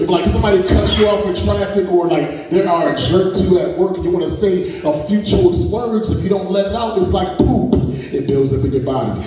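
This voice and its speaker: A man in his forties doing a crude, brash stand-up set with the crowd. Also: high voice. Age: 40s. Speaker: stand-up comedian. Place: comedy venue stage. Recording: echoey hall, mic off at a distance, loud crowd. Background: audience laughter.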